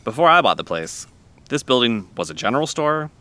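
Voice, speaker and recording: Bright-voiced, folksy cafe owner. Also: male voice, medium pitch, big pitch swings, fairly quick. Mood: chatty, folksy. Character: friendly, chatty male owner of a small-town cafe. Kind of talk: naturalistic audio drama acting.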